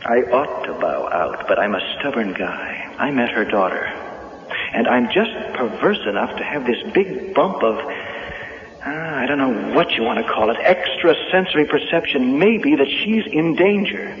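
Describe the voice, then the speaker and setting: An actor playing a stubborn, probing insurance investigator in a 1970s radio drama. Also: male voice, medium pitch, expressive, unhurried, lightly acted. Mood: urgent, uneasy. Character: stubborn, hesitant insurance investigator following a hunch. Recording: echoey, dull, faintly noisy radio recording.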